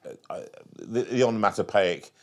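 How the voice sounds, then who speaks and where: A man interviewing in a conversational style, earnest and halting, repeating words for emphasis. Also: lowish voice. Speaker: interviewer, host.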